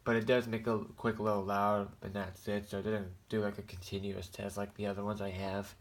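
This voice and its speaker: An American man giving a casual show-and-tell in a halting, rambling, plain-spoken way. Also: fairly low pitch, flat delivery. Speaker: hobbyist vlogger showing a radio collection.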